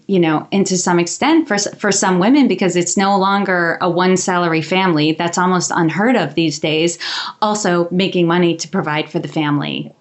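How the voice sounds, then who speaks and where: A woman hosting an interview podcast, speaking in an upbeat, affirming way. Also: fairly low pitch. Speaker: podcast host.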